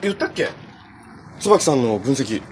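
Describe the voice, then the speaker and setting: Lively, kind young hero. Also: male voice, mid-range voice, measured pace. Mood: chatty, musing. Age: young adult. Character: kind, easygoing young male hero, earnest and encouraging. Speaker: actor in a television superhero drama. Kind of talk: natural TV drama dialogue. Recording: noisy TV clip audio with ambience.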